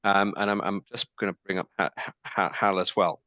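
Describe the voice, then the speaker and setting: A man's voice: sits low, level tone, a little fast, pushed and bright, no echo, lo-fi sound. A man moderating an online panel discussion, upbeat and chatty, with plenty of ums and restarts. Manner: upbeat, filler-heavy, restarts names.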